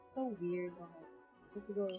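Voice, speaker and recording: A woman with a Filipino accent chatting casually on a game livestream. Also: medium pitch. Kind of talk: casual livestream chat, mixing English and Tagalog. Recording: game music behind the voice. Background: video game music.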